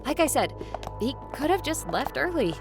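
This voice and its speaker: An actress playing a level-headed, reassuring young sister who takes charge in a modern audio drama. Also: female voice, high voice, very animated, moderate pace, over music. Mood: calmly reassuring.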